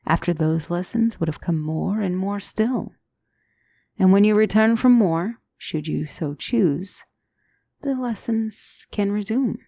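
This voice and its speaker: A woman playing a gentle demonic mentor in an ASMR fantasy roleplay, speaking softly with formal, measured, reassuring diction and often near a whisper. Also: medium pitch, creaky, no echo, studio quality. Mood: calm reassurance. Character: gentle demonic tutor, female mentor to a young royal.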